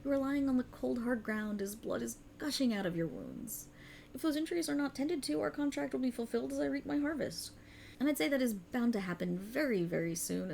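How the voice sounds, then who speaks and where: A woman's voice: high-pitched, vocal fry, a little mellow, a little hiss or hum. A woman voicing a sultry, cruel and mocking otherworldly warlock patron in a theatrical ASMR roleplay, teasing and condescending. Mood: mocking, amused.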